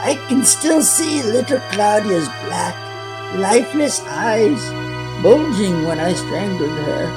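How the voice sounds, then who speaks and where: A woman playing a hundred-year-old guilt-ridden villainous great aunt in a gothic audio drama, raspy and anguished. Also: mid-range voice, animated, music in the background. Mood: anguished, confessing. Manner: slow, theatrical, anguished delivery.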